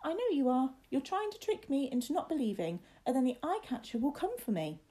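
A woman's voice: high voice, big pitch swings, brisk, warm tone, voice pushed up. A woman voicing a frightened, stubborn young boy in a storybook, with an insistent, pleading manner. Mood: stubborn, fearful.

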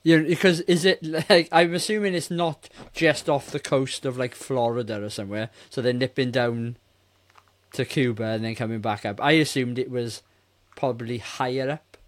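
A man's voice: mid-range voice, steady tone, moderate pace. A man in his forties chatting casually and opinionatedly as a podcast host, exasperated and swearing freely. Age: 40s. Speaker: film review podcast host.

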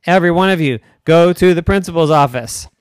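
A storyteller voicing a bossy little girl who plays teacher and scolds her imaginary class in a shrill voice. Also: fairly quick, smooth, dry recording, natural voice. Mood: bossy scolding.

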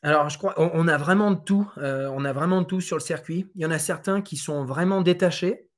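A man's voice: a little high, brisk. A French man giving conversational interview answers about golf data, hesitant, with many fillers and restarts. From France. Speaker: Trackman representative on golf tours.

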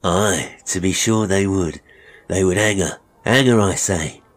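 A man's voice: sits low, a little slow. A man voicing a menacing, mocking murderous barber in a Victorian melodrama story. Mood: threatening. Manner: mocking, threatening, chuckling, oily when polite. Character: menacing, sneering murderous male barber, gloating villain.

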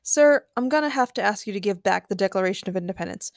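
A woman's voice: medium pitch, animated, fast, creaky, dry recording, clean studio sound. A woman voicing a stern but diplomatic male museum security guard in a firm, exasperated manner.

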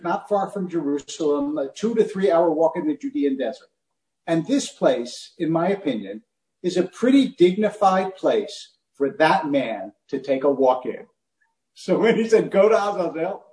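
A man's voice: high-pitched, a little mellow, reverberant, rough recording. A man giving a conversational sermon with chuckling asides, telling a story.

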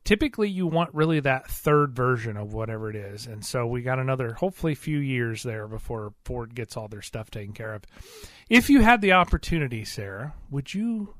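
A man's voice: mid-range voice, animated, fairly quick, a bit bright, no room sound. A man co-hosting a radio talk show, chatting casually with folksy, colloquial turns of phrase.

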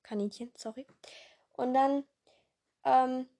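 Young woman, hoarse, playful rambling chat, many fillers, slightly high voice. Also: fairly even intonation, slow, warm tone. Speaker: podcast host.

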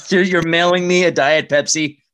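A man hosting a podcast in casual comic banter with a deadpan manner. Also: fairly high pitch, normal speed, slight background noise. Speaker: podcast host.

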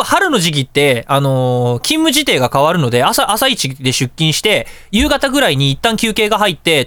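A man hosting a radio show, telling anecdotes in a casual, chatty, animated way. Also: on the high side, no room sound. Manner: animated, chatty storytelling with colloquial asides.

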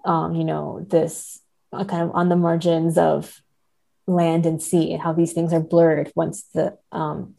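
A woman's voice: low-pitched, level tone, measured pace, creaky, warm tone. A woman, a podcast guest, discussing books casually in a tentative, thoughtful way with hedged phrasing. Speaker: podcast guest, literary translator.

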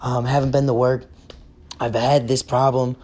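A hoarse young man, hosting a casual sports podcast and ranting bluntly with lots of swearing while losing his voice. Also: medium pitch, level tone, moderate pace, darkish tone. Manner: profane, blunt, fired up.

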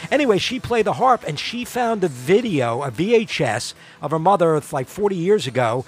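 A man's voice: sits high, sing-song, normal speed, slightly rough. A man co-hosting a talk show, chatting in a rambling way and often searching for words. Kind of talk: casual banter.

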